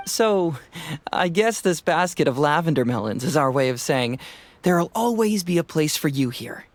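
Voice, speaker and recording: A man's voice: on the high side, lively intonation, normal speed, no echo, lightly acted. A playful, self-assured young detective from a video game, acted by a man. His voice swings expressively, with a breezy, smug, teasing tone throughout. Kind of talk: animated game dialogue.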